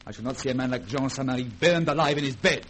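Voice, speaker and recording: An actor playing an anguished, resolute French Creole gentleman in a vintage radio drama, speaking formally and dramatically. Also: mid-range voice, fast, close to an everyday voice. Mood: grave, shaken. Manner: formal, impassioned, dramatic delivery. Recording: noisy vintage radio recording.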